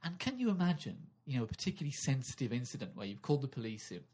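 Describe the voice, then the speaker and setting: A man's voice: medium pitch, very animated, very fast, darkish tone, a little hiss or hum. A man co-hosting a comedy podcast, telling silly improvised stories with lots of giggling. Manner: giggly, playful, silly storytelling. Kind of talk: absurd improvised comedy chat.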